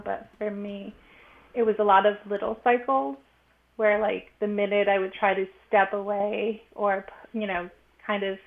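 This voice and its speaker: A woman in her forties from Los Angeles, a podcast guest telling her story hesitantly with many fillers. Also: mid-range voice. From Los Angeles, California. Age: 40s. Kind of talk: personal story in a podcast chat. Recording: tinny phone-like line.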